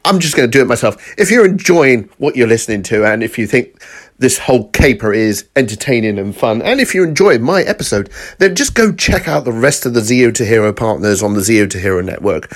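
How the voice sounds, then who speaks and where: A man with a mid-pitched voice and animated intonation speaks as a podcast host. He is casual and grumbles in exasperation to his listeners. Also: a little fast.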